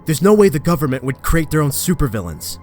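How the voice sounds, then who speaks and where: A man's voice: a little high, sing-song, fast talker, darkish tone. A man playing an earnest, rattled young superhero in a comic book dub, disbelieving and confrontational. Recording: dry close recording, faint music behind.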